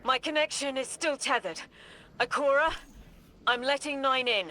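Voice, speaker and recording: A woman's voice: high-pitched, moderate pace, pushed and bright, slight background noise. A woman voicing a haunted mystic seer in a game, fraught and cryptic, speaking in clipped poetic phrases. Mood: tense, resolute. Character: haunted, intense mystic seer fighting off possession. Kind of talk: scripted game cutscene dialogue.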